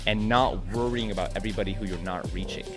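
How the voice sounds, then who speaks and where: Brief: Californian male podcast host, animated and laugh-prone, clear music bed behind. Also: fairly low pitch, quick pace. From United States. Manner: animated, laughs often, many fillers. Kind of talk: casual interview chat.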